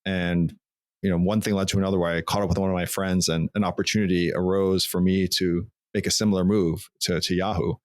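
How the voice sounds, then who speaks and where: A man in his forties with a New York accent, a guest recounting his career in a thoughtful, measured way in an office interview. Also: deep voice, flat delivery, no room sound, clean studio sound. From Long Island, New York, US. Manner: thoughtful, measured, occasional restarts. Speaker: guest, former CFO. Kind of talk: conversational interview.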